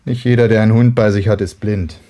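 An actor playing a suspicious, grudging young husband in a radio play, brusque and sarcastic. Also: male voice, on the low side, steady tone, fast, warm tone, natural voice. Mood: irritated, sarcastic. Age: young adult. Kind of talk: German radio play acting.

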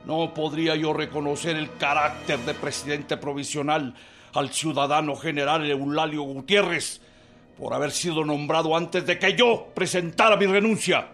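A proud revolutionary leader in a radio play. The man's voice is fairly high and forceful, with emphatic formal oratory. Faint music sits behind the speech. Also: steady tone, moderate pace. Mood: defiant, formal. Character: indignant Mexican revolutionary chief refusing to recognize a rival. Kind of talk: radio drama, formal declamation.

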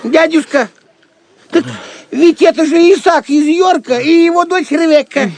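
Cheeky medieval fool: very high creaky voice, drawling, clownish, for audio drama. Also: male voice, normal speed, dry recording. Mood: sly, wry. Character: cheeky, superstitious court jester, sly and mocking. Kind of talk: theatrical audio drama acting.

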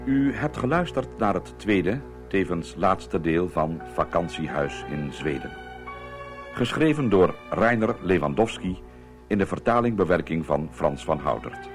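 A male radio announcer with a very low voice reads scripted credits formally. Music plays clearly behind him. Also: lively intonation, a little slow.

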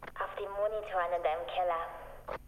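A woman's voice: fairly low pitch, steady tone, normal speed, natural voice. An actress playing an insistent, enigmatic woman in a naturalistic radio drama, speaking in short, direct statements. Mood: calm, knowing. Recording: roomy echo with background noise.

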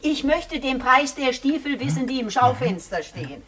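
An actress playing an impatient, indignant female shop customer in a radio play, polite in wording but forceful and exasperated. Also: sits high, lively intonation, normal speed, crowd noise.

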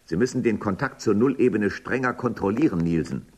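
A man's voice: on the low side, animated, brisk, darkish tone, slightly rough. An actor playing a stern, imperious male official in a dystopian radio drama, commanding and declamatory. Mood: stern, impatient. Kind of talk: German radio drama acting.

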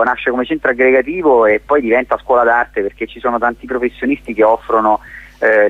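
A man's voice: mid-range voice, no room sound. Man on a thin phone-like line. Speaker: theatre director interviewed as guest. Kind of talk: conversational radio interview.

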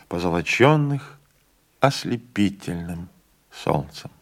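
A man reading a classic novella as an audiobook narrator, measured and dramatic. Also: medium pitch. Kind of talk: literary narration.

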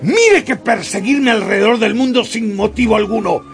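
Indignant, creaky-voiced gentleman. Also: high-pitched, moderate pace. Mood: furious. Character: indignant English gentleman traveller, proud and scolding. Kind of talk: radio drama acting. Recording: music behind the voice.

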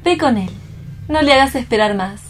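A woman's voice: a little high, lively intonation, moderate pace, a little hiss or hum, natural voice. An actress playing a fragile, weary young woman who speaks hesitantly in a radio drama reading. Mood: subdued. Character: fragile, weary young woman recovering from near-drowning, anxious and secretive.

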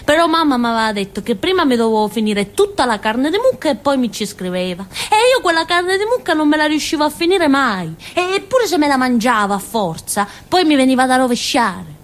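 An actress playing a naive, simple-minded village woman in a theatre monologue, with a southern Italian accent and a childlike, halting, colloquial way of telling things. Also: sits high, sing-song. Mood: naive, confiding. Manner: childlike, halting, giggly, muttering little asides. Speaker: stage actress.

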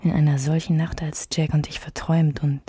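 A wistful woman tells of old love in an intimate radio drama. Her voice is mellow and veiled, with little melodic movement, recorded close and dry. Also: deep voice, level tone, a little fast, slightly rough, no echo. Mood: wistful, tender. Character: wistful woman recalling a past love triangle. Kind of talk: intimate narration in a literary radio play.

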